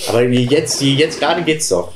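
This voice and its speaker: A man's voice: medium pitch, expressive, fairly quick. Roomy-sounding man in casual gaming banter. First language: Low German. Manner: jokey, chatty, asks questions. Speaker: co-host of a retro gaming let's play. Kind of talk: casual chat over gameplay. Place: small room indoors. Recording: roomy sound, faint noise.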